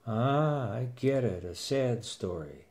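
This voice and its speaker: A man's voice: mid-range voice, animated, slow, darkish tone, slight background noise. A man in his sixties narrating a first-person story and acting out its dialogue as a grandfather.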